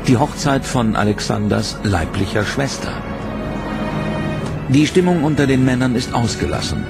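A man narrates a historical documentary in a measured, dramatic storytelling voice. His pitch sits in an ordinary middle range. Loud orchestral-style music plays clearly beneath him.

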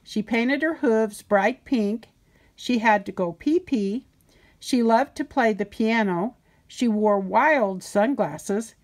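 An older woman reading a children's picture book aloud in a playful, sing-song way with deliberate emphasis. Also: fairly high pitch. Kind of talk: storybook read-aloud.

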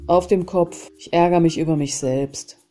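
A woman with a cold leads a guided tapping exercise in a low, mellow voice. She calls out each point, then says a short phrase to repeat. Faint music plays underneath. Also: steady tone, moderate pace. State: has a cold. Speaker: self-help channel host. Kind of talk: guided EFT tapping session.

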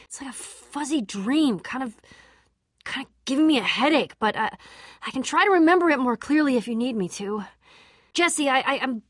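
A woman's voice: high-pitched, dry recording, clean studio sound. An actress playing a tough, guarded young female adventurer in a video game, casual, candid and dryly sarcastic. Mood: strained, puzzled. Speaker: game voice actor. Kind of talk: video game character dialogue.

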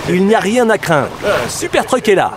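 A man's voice: high-pitched, big pitch swings, normal speed, background noise. A man playing a friendly cartoon narrator who speaks expressively and directly to the vehicle characters. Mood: encouraging. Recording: loud music behind the voice. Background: loud cartoon music.

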